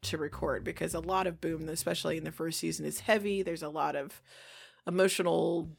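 A woman with a creaky edge to her voice, explaining her directing process as a guest in a casual interview. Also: sits low, steady tone, brisk, soft background music. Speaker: audio drama creator and director, interview guest.

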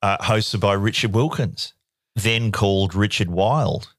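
A middle-aged man co-hosting a casual chat, telling stories playfully and asking quick questions. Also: on the low side, no room sound, clean studio sound. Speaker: co-host.

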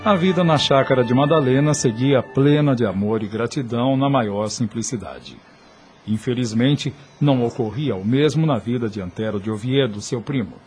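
A man's voice: medium pitch, normal speed, a little mellow, faint music behind. A man narrating a radio soap opera from a script in a solemn, literary storytelling manner.

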